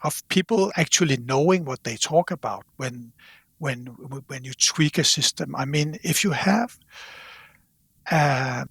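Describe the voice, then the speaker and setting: A man speaking as an interview guest in casual conversation, haltingly, repeating words as he thinks aloud. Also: fairly high pitch, fairly bright tone, husky, no echo. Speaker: podcast guest from a loudspeaker company.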